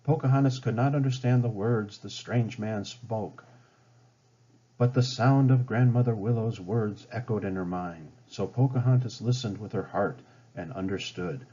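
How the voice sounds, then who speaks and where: A man's voice: lowish voice, normal speed, warm tone, slightly rough. A man reading a children's story aloud as a storybook narrator. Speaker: storybook reader. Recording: dull lo-fi home recording.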